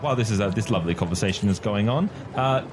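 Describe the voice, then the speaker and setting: A man's voice: lowish voice, fast talker, over music. A man acting as game master, narrating an improvised tabletop game in a casual, hesitant manner.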